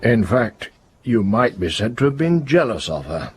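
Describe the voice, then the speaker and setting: An actor playing a grave, probing police inspector in a radio drama, with a rough, husky voice and a deliberate, accusatory way of questioning. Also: male voice, mid-range voice, big pitch swings, darkish tone, soft background music. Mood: stern, accusing.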